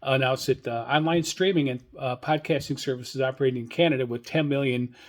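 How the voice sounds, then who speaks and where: An older man hosting a hobbyist podcast, giving informal solo news commentary in a hesitant, halting, rambling way. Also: mid-range voice, steady tone. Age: older, 60s or above. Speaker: radio hobbyist podcast host.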